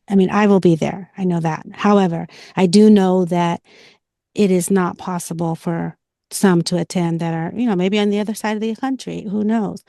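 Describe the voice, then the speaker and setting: A woman's voice: lowish voice, dark tone, no room sound, studio quality. A woman hosting a casual talk show, affirming her guests and asking questions conversationally. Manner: affirming, often agrees aloud. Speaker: interview show host.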